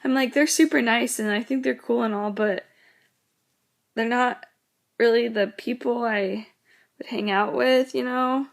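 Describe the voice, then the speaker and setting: A woman's voice: a little high, fairly even intonation, measured pace, gravelly, long pauses. Teenage girl rambling, a bit sick. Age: teens. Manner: rambling, many "like"s, quotes others. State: sick, voice going in and out. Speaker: vlogger. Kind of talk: casual chat.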